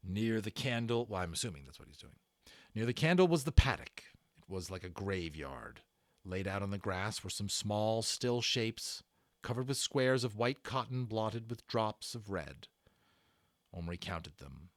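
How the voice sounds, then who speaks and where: A man reading a story aloud as a dramatic, expressive narrator, building suspense. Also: on the low side, a little slow, dry recording, studio quality. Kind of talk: dramatic read-aloud with casual asides.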